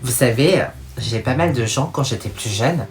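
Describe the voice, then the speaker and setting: A woman's voice: rumbling low, lively intonation, moderate pace, a little hiss or hum. A woman from France hosting a reaction video, commenting in an outspoken, mocking, exasperated way. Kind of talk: casual commentary over clips.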